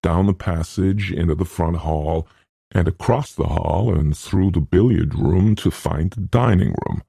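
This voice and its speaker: A man's voice: low voice, unhurried, vocal fry, warm tone, breathy edge, no room sound, clean studio sound, voice pushed up, heavily acted. A man voicing a genial, complacent older scholar with a precise, professorial manner. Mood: complacent, pleased. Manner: precise, pleased with himself, professorial.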